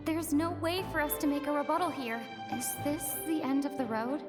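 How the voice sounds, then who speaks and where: A woman's voice: high-pitched, moderate pace, close to an everyday voice. Earnest young heroine voiced by a woman. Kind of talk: game cutscene voice acting. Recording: game audio with music.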